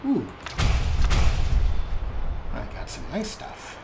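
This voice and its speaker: A man's voice: drawn-out words, very noisy. Wry male let's play host. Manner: relaxed, wry, talks to his viewers. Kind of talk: gameplay commentary.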